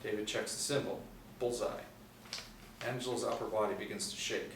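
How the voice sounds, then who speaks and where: A man's voice: fairly low pitch, steady tone, normal speed. A middle-aged man reading stage directions as scripted narration at a screenplay table read. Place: small indoor room, seated group reading. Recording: roomy, distant mic, faint room noise.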